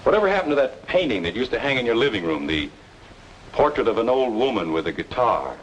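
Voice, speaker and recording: A man's voice: mid-range voice, big pitch swings, quick pace, slight background noise, natural voice, low-quality recording. An actor playing a wronged, obsessive younger man, speaking with insistent intensity in an old-time radio noir drama. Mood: insistent, sardonic. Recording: old radio recording, faint music.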